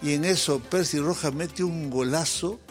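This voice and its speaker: A man's voice: a little high, normal speed, faint music behind. A man hosting a casual interview, joking playfully and laughing easily. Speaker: interviewer host.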